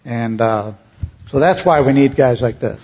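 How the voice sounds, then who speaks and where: A man's voice: mid-range voice, a little slow, darkish tone, breathy edge. A man hosting a live panel, introducing and questioning guests informally. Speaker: panel host. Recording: slightly dull, muffled live recording.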